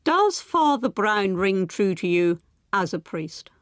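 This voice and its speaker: A woman's voice: medium pitch, sing-song, slow pace, dry recording, studio quality. A woman presenting and interviewing on radio, articulate and emphatic in her phrasing. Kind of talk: scripted narration and conversational interviewing.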